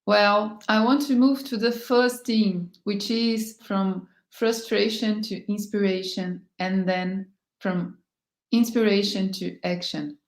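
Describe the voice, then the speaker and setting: A woman from Brazil moderating a panel on a video call, measured as she leads into the themes. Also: mid-range voice, fairly even intonation, reverberant. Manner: measured, introduces speakers.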